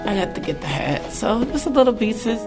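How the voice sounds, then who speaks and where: Frank, teasing bride from Chicago. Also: female voice, fairly high pitch, sing-song, fairly quick, over music. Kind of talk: casual interview answers.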